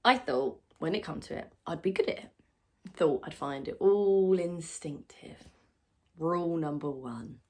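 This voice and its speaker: A woman's voice: sits low, a little slow, darkish tone. A woman playing an anxious, self-reproaching new mother in a confessional dramatic monologue, in clipped phrases of swinging intensity. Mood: self-reproachful.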